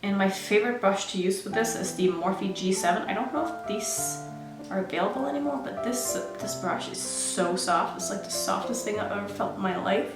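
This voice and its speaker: A woman's voice: medium pitch, moderate pace, roomy sound, over loud music. A woman who grew up in Norway, chatting casually while she does her makeup for a beauty vlog. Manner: chatty, self-narrating while applying makeup. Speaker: beauty vlogger.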